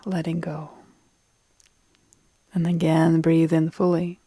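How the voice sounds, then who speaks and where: A woman guiding a relaxation meditation in a calm, soothing, deliberate way with long pauses, her soft phrases trailing into breath. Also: deep voice, slightly rough, no room sound. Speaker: yoga nidra meditation guide.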